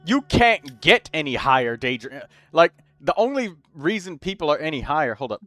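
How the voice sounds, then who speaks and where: A man hosting a gaming stream, chatting casually with friends, excitable and swearing, now and then bursting into loud shouts. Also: on the high side, normal speed. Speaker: streamer host.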